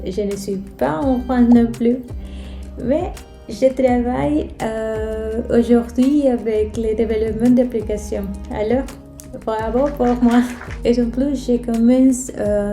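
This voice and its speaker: Slow, mellow Spanish-accented woman vlogger. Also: on the high side, steady tone. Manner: careful, hesitant non-native French delivery. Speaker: vlogger, channel host. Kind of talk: casual personal storytelling to camera. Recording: roomy echo, music underneath. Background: background music.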